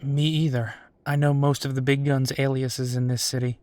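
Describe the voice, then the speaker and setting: A man's voice: medium pitch, flat delivery, moderate pace, darkish tone, dry recording, close to an everyday voice. A man playing a wry, foul-mouthed werewolf private investigator in a roleplay audio drama, talking casually. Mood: businesslike, curious.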